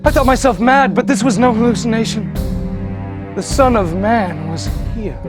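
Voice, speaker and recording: An actor on a theater stage playing a tormented, grandiose Victorian murderer in formal, declamatory monologue, with feverish piety. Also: male voice, sits high, normal speed, over loud music, natural voice. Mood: fervent, ecstatic. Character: tormented male Victorian serial killer, grandiose, feverishly pious. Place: theater stage before an audience.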